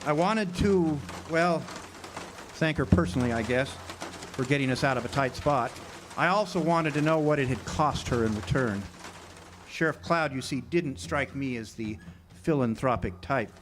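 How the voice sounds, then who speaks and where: An actor playing a world-weary drifter, narrating a hardboiled radio drama in a wry, hesitant way. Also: male voice, medium pitch, normal speed, natural voice. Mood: wry, reflective. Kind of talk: noir-style radio drama narration and dialogue. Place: outdoor amphitheater stage. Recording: noisy outdoor live recording with birdsong.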